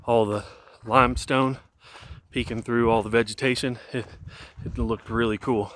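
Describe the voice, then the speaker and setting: A man's voice: mid-range voice, normal speed, a little hiss or hum. A man in his thirties hiking outdoors, filming himself at arm's length and chatting casually with fillers and restarts. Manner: relaxed rambling with fillers and restarts. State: walking downhill. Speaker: hiker vlogger. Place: outdoors on a mountain trail. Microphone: camera held at arm's length while walking.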